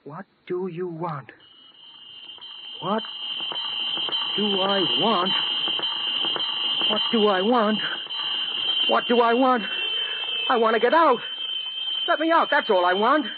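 A man's voice: on the high side, moderate pace, close to an everyday voice. An actor playing a bedridden man who cannot speak, pleading in his thoughts in an old radio drama. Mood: desperate. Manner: pleading, breaking into anguished outbursts. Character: mute bedridden wounded man, desperate inner voice. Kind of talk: 1940s radio drama inner monologue. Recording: muffled old radio recording with hiss.

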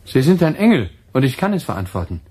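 A man acting a brisk, talkative, emphatic radio dramaturg in a German radio play. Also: mid-range voice, very animated, dark tone, breathy edge. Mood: eager, insistent.

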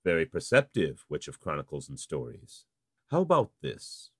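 A man's voice: a bass voice, huge pitch swings, normal speed, breathy edge, no echo, clean studio sound, exaggerated voice. A man voicing a proud, courtly human nobleman in a visual novel, speaking formally. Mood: diplomatic. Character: proud armored nobleman, a diplomatic human marquis.

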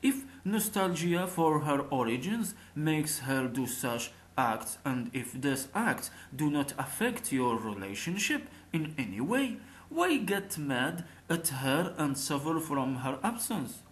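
A man acts out a wise royal vizier from a storybook tale. He advises calmly and slowly, with expressive turns of pitch. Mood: counselling. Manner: measured, advising. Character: wise, calm male royal vizier giving counsel.

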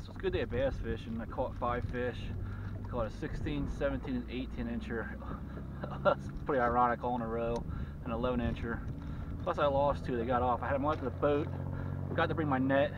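Middle-aged man, casual fishing host, excited chatter, boat-mounted camera with wind noise. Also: mid-range voice. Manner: excited, talking to himself while handling fish. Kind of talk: casual fishing vlog narration. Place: small boat on an open lake outdoors. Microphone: action camera mounted on the boat. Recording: distant camera mic, dull sound, wind noise.

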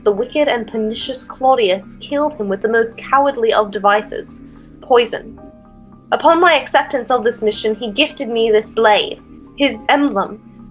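A woman's voice: on the high side, close to an everyday voice. A woman playing a brooding, earnest young noble in a theatrical, Shakespearean audio drama manner. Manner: theatrical, archaic Shakespearean phrasing. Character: brooding, earnest young Danish noble sworn to avenge a father.

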